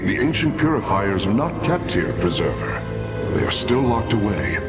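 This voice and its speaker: An actor playing a dutiful alien technician in a video game, explaining things formally. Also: male voice, lowish voice, very animated, moderate pace, over loud music. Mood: matter-of-fact. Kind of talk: video game cinematic acting.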